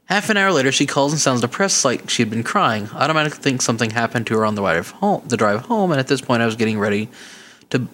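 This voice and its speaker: Podcast co-host, male, mid-pitched, chatty, reads emails with laughs, faint hiss. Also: animated, quick pace, fairly bright tone. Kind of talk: casual chat and reading listener emails.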